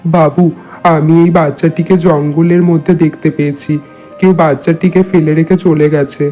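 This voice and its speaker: A man's voice: on the high side, steady tone, normal speed, mellow, soft background music, lightly acted. A man playing a humble, devout, compassionate fisherman in a cartoon. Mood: respectful. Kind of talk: cartoon character acting in a Bengali moral tale.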